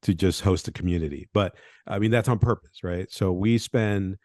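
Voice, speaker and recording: Low-voiced man, rambling, dry sound. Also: normal speed, darkish tone, dry recording. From Venezuela. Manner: rambling, tag questions like "right?". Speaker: game designer guest. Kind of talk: casual podcast interview.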